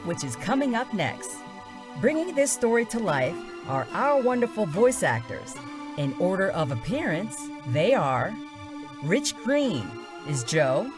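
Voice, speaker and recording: A woman announcer reading scripted credits and notices for a fiction podcast. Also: medium pitch.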